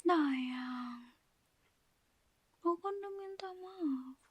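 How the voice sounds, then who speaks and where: A woman, whispering a slow, coaxing ASMR girlfriend roleplay into a close mic. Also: high-pitched, no room sound. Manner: soft coaxing whisper, apologetic and pleading.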